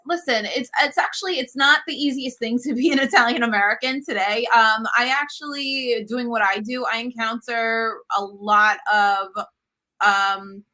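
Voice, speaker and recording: A woman's voice: a little high, normal speed, sharp tone. A woman, a guest telling stories animatedly over a video call, full of fillers and restarts. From New York, US. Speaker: guest, cooking show host. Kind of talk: casual video-call interview. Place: home room, indoors.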